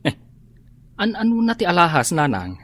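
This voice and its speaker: An actor playing a weary, grumbling henpecked husband in an Ilocano radio drama. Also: male voice, high voice, wildly swooping pitch, normal speed, a bit bright, heavily acted. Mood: weary, annoyed.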